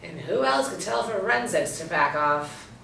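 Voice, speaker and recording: A woman's voice: fairly low pitch, lively intonation, a little slow, gravelly, fairly bright tone, slight background noise, exaggerated voice. An actress reading the part of a wry, seasoned policewoman and tracker in a screenplay table read, dry and teasing. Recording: roomy, echoey, distant mic.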